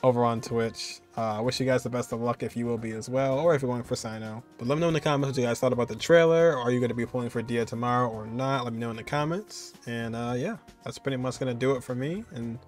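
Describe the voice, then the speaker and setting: A man's voice: mid-range voice, steady tone, quick pace, few pauses. A man, giving informal, conversational reaction commentary. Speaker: reaction video host. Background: music.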